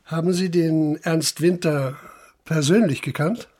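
An actor playing a persistent police inspector in a radio play, questioning in a measured, formal way. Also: male voice, on the high side, steady tone, darkish tone. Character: probing male police inspector investigating a murder. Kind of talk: German radio play acting.

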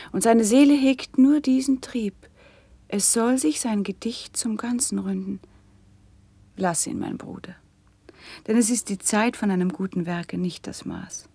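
An actress playing a gentle, refined princess and patient sister in a classic German radio play, speaking measured lyrical verse in a soft, breathy voice. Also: female voice, medium pitch, dry recording, exaggerated voice.

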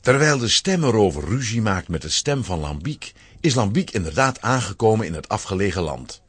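Male narrator, children's audio story: low, very raspy, dramatic emphasis, close dry sound. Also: big pitch swings, brisk, no room sound.

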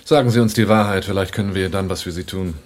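A shrewd, sardonic questioner played by a man with a fairly low voice, in a radio play. He probes coolly and drily, mocking as he goes. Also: quick pace, close to an everyday voice. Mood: probing, skeptical. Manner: dry, probing, mocking. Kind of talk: German radio drama acting.

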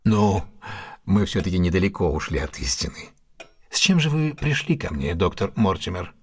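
An actor playing a confident, ironic detective with a husky voice in a Russian audio drama, speaking in a deliberate, theatrical, questioning manner. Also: male voice, sits low, expressive. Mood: wry, questioning.

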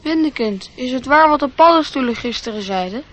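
High-voiced, innocent little boy. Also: lively intonation, fairly quick, lo-fi sound. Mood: wondering. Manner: naive, questioning. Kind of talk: radio play acting.

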